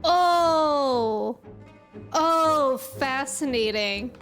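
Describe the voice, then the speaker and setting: A woman's voice: high voice, expressive, extremely slow, fairly bright tone. A young woman streaming a game, chatting casually and greeting viewers. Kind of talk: casual live gaming commentary. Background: game music.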